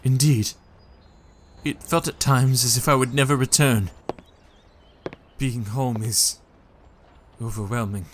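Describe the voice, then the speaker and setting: An actor playing a haunted, fragile young husband in a Victorian gothic audio drama, halting and troubled, with a breathy, husky voice. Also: male voice, medium pitch, steady tone, slight background noise, natural voice. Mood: weary, subdued.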